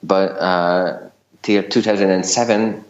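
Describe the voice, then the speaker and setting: Middle-aged Hungarian-accented man, very low voice, unhurried and halting, interview guest. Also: steady tone. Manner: hesitant, many fillers and restarts. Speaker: guest, LinkedIn consultant. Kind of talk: casual interview talk. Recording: roomy, dull lo-fi recording.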